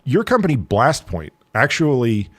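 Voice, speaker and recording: A man's voice: mid-range voice, very animated, normal speed, no echo. A middle-aged American man hosting a podcast, chatty and self-deprecating, joking with his guest. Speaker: podcast host. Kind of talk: casual interview.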